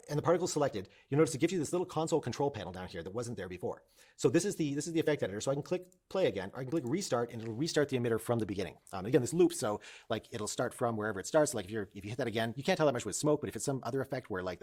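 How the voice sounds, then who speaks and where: A man instructing in a casual screen-share tutorial, thinking aloud and explaining informally, often restating points to make them clear. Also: medium pitch, darkish tone. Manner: informal, explanatory, repeats himself to clarify.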